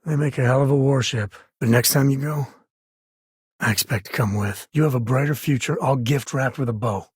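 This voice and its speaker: A rugged spacefaring ranger and protective father for a video game. His voice is very husky and gravel-edged, sitting at an average male pitch. He talks in a folksy, wry, conversational way, easygoing but loyal, in a close, dry recording. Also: moderate pace, dry recording. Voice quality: husky, raspy. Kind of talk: scripted game dialogue, conversational acting.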